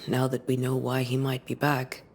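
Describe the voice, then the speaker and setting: An actress playing a composed, resolute woman ghost hunter in a video game, speaking in a measured, grave and reassuring way. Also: very deep voice, completely flat delivery, a little mellow, a little hiss or hum. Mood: gentle, grave. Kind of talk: video game cutscene acting. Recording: music under the dialogue.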